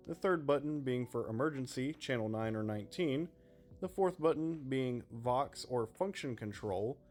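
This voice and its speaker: A man's voice: medium pitch, darkish tone. Man presenting a product review over music. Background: background music.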